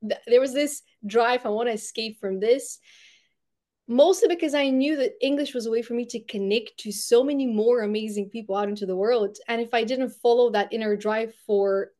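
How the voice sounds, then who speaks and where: A woman's voice: a little high, moderate pace, warm tone. A woman from Bulgaria speaking English, a podcast guest earnestly reflecting on her childhood and schooling. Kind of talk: reflective storytelling.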